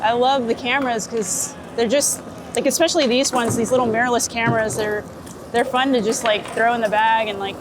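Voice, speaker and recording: A woman chatting informally in an easygoing, giggly way, recorded outdoors as a photographer at work. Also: fairly high pitch. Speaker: photographer being interviewed while shooting street portraits. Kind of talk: casual unscripted chat. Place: outdoors on a city street, under a bridge. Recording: outdoor city and traffic noise.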